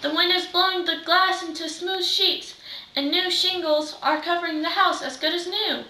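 A woman's voice: extremely high pitch, fairly even intonation, normal speed, sharp tone, a little hiss or hum. A teenage girl playing a witch's chatty, bossy sidekick, reading with exclamations in readers' theater. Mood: amazed. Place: living room, far camera microphone. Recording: roomy, distant microphone.

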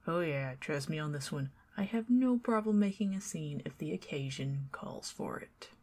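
A young woman with a Southern US accent talks slowly and chattily to the listener in an ASMR roleplay, sliding between soft speech and breathy whispers. Her voice sits low with a husky, gravelly texture. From Southern United States. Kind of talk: ASMR roleplay, talking to the listener as a friendly neighbor.

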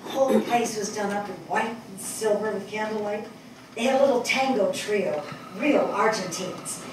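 An older woman acting a thoughtful writer in a stage play reading, telling her story with expressive, wistful, deliberate phrasing. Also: mid-range voice, lightly acted. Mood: wistful wonder. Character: thoughtful, attentive New York woman writer. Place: on stage in a theatre before an audience. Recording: distant mic, echoey hall, audible noise.

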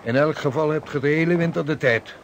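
An actor playing a hearty, hospitable seasoned sea captain in a Dutch radio drama, speaking jovially in formal old-fashioned phrases. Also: male voice, fairly high pitch, fairly quick, lightly acted. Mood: genial. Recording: radio play with background ambience noise.

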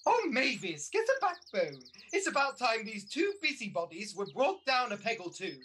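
A woman's voice: a little high, very animated, normal speed, fairly bright tone. Lady acting a snooty vain panto dame, catty and grand, voice up and down, bit of noise. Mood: scornful. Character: vain, newly beautified pantomime dame, haughty and cutting.

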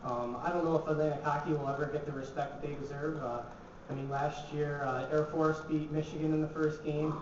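A man's voice: a little high, little pitch movement, brisk. A young man, a college hockey player, answering reporters casually with many fillers at a press conference table. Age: young adult. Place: large echoey press room. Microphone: table mic, from a distance. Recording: echoey, distant, dull, noisy.

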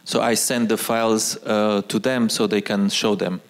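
A man in his forties, a native Arabic speaker, speaking on an auditorium stage as a guest artist, pausing and restarting often. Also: lowish voice, level tone. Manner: hesitant, frequent restarts. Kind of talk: onstage conversation. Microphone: handheld mic.

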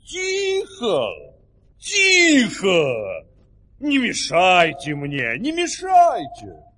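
Casting: eager oddball fairy-tale wonder-worker, high voice, slow emphatic phrases, long reverb. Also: male voice, huge pitch swings, over music, full character voice. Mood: urgent, annoyed. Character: eccentric fairy-tale strongman or listener, absorbed, eager. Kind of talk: theatrical audio-play acting.

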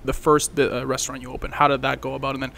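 Host: man, brisk interviewer who prompts and recaps, slightly higher middle pitch, boom mic with a faint hiss. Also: flat delivery, gravelly, a bit bright. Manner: prompting and summarizing, fills with "you know". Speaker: podcast host. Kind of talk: interviewing, casual podcast. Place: lounge-styled podcast studio. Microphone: headset and boom mic.

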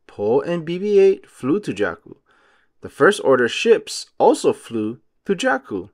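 A man reads a picture book aloud for young children as its narrator. His voice sits a little high for a man and swings widely in pitch. He goes slowly, setting out each short sentence with deliberate care. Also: big pitch swings, darkish tone. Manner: deliberate, clearly phrased reading for young children. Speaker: storybook narrator.

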